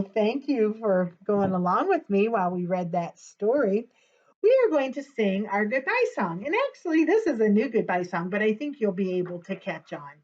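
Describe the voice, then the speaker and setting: A woman reading a picture book aloud to young children as a storytime presenter, expressive and gasping for suspense, often putting questions straight to her listeners. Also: mid-range voice, dull audio. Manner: expressive, gasps for suspense, addresses children directly.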